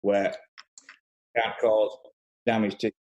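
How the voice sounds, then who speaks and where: A man's voice: fairly low pitch, little pitch movement, takes it slowly, darkish tone, dull audio. Man in casual, chatty conversation. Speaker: podcast guest. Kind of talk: casual chat.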